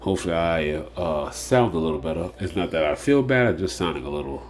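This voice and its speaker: A man with a very low voice and a stuffy, nasal sound from being a little under the weather. He rambles loosely through his picks, circling back on the same phrases and filling gaps with "uh" and "like I said." A faint hum sits behind him. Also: a little fast. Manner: rambling, repeats phrases, many fillers. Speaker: fantasy sports show host. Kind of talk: casual solo picks preview.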